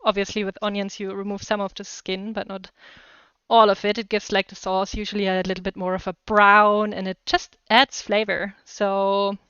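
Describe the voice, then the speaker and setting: A woman with a German accent hosts a casual live cooking session. Her voice is exceptionally clear and smooth at an average pitch. She talks in a chatty, enthusiastic way, recorded dry with a slightly muffled top end. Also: level tone, normal speed, sharp tone, dry recording. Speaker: cooking livestream host. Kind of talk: casual chat to live viewers while cooking.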